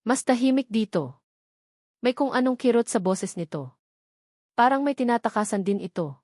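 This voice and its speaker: A woman's voice: a little high, animated, normal speed, a little mellow, dry recording. Woman narrating a drama, dry close sound. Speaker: audiobook narrator. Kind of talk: scripted dramatic story narration.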